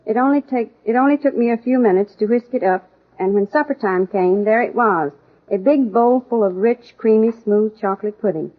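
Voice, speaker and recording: A woman's voice: fairly high pitch, moderate pace, natural voice. A woman playing a satisfied housewife reading a testimonial in a 1940s radio ad. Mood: pleased. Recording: tinny narrow-band vintage radio.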